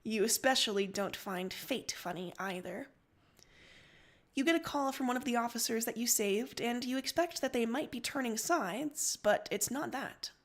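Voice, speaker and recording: A woman's voice: a little high, moderate pace. A woman hosting a livestream, reading fan fiction aloud with casual, giggly asides. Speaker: livestream story reader and host.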